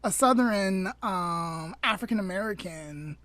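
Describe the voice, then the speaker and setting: Young woman cohosting a chat podcast, medium pitch, rambly with lots of likes and ums. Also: expressive, unhurried, a bit bright, no echo. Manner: chatty, many fillers and restarts. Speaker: podcast co-host. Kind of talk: casual chat.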